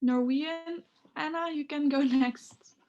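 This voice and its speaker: A woman's voice: high voice, steady tone, a little slow, a little mellow, dull audio. A young woman moderating a casual video call chat, asking questions unhurriedly. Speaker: discussion moderator.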